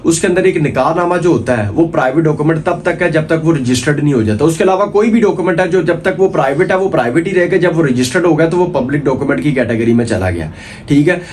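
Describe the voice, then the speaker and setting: A man's voice: on the high side, a little mellow, a little hiss or hum. A man with a Pakistani accent giving an informal, explanatory lecture, repeating points and checking in as he teaches. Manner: didactic, repetitive, checks in with "okay". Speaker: law lecturer. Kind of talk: informal explanatory lecture mixing Urdu and English.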